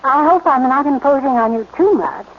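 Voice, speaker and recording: A genteel, fluttering lady of seventy, high-voiced and fussy, forever sighing over small worries. It has the dull sound and faint hiss of an old radio broadcast. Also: expressive, moderate pace. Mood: fluttery. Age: 70s. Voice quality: fussy, flustered refrains. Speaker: elderly society lady asking for a theft. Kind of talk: 1940s radio acting.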